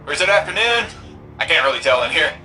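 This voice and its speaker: An actor playing an excitable, cheeky young tech-whiz sidekick in a video game, with a slangy, playful manner. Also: mid-range voice, quick pace, over music, close to an everyday voice. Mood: chatty, playful. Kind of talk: video game character dialogue.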